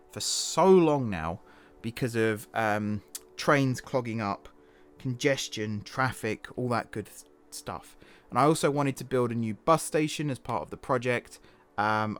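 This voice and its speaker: A man, slightly hoarse, narrating his own gameplay in a rambling, self-correcting, casual voice-over. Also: medium pitch. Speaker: gaming channel host. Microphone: desktop voice-over mic.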